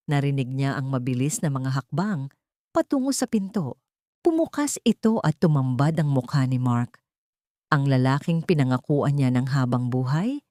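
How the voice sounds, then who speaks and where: Low, dark-voiced woman narrating a somber story. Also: dry recording, clean studio sound. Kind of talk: scripted audiobook narration.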